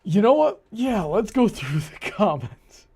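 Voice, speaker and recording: A man's voice: high voice, very animated, measured pace, vocal fry, a little mellow, breathy edge. A man hosting a show with casual, incredulous and exasperated commentary. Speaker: host.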